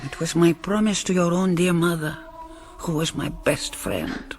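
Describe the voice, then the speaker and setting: A woman playing a kindly, dignified older Mother Superior in a radio drama, measured and gently authoritative, with dry wit. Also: fairly low pitch, big pitch swings, slight background noise. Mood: solemn, kindly.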